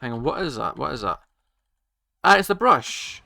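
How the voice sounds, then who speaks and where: A man hosts a casual reaction video in a bright, forceful voice. He talks haltingly, rambling and doubling back on his own phrases. The sound is dry, with hardly any room in it. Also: mid-range voice, very animated, gravelly, dry recording. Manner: halting, rambling, circles back to repeat himself. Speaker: reaction channel host.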